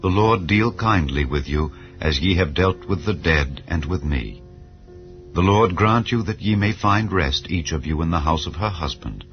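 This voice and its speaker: A man's voice: low-pitched, lively intonation. A man reading as grieving old widow Naomi. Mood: lamenting. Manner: slow, solemn biblical speech. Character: grieving aged widow Naomi, bitter and tender. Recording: slightly dull, soft music behind.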